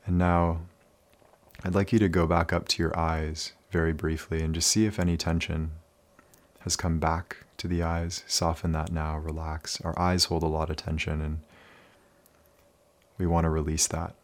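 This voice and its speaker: A man leading a guided meditation in a calm, soothing, unhurried manner with many pauses. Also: extremely low pitch.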